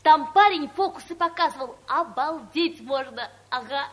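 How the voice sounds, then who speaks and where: A breezy, know-all secretary, young and full of gossip. Her voice is high and lively, with a colloquial radio comedy delivery. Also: normal speed. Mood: breezy, chatty. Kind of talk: radio comedy play acting.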